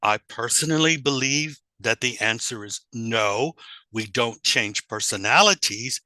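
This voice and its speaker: An American man giving a lecture on teaching, asking rhetorical questions and pausing often with fillers. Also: medium pitch, bright, forceful tone, no room sound, rough recording. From United States. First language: English. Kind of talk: lecture talk.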